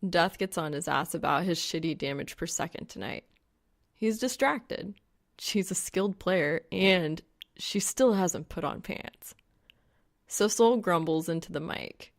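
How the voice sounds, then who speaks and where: Creaky-voiced woman narrating a story. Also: fairly low pitch, animated, unhurried. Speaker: audiobook narrator. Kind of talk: expressive scripted fan fiction narration.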